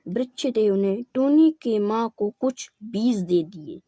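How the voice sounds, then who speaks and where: A woman's voice: medium pitch, animated, takes it slowly, mellow, no room sound, studio quality. A woman narrating a scripted children's moral story.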